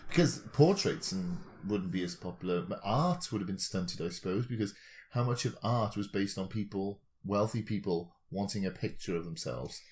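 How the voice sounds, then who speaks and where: A man with a low, deep voice chats as a podcast co-host. He works through speculative ideas in a discursive way, building on examples as he goes. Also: moderate pace, a little mellow. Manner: discursive, reasoning aloud with examples.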